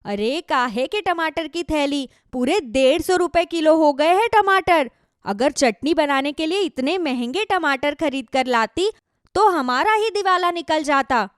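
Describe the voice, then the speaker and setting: A woman voicing an outspoken, thrifty village wife in a children's story, speaking with animated emphasis. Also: sits high, a little slow, dry recording, studio quality. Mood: indignant.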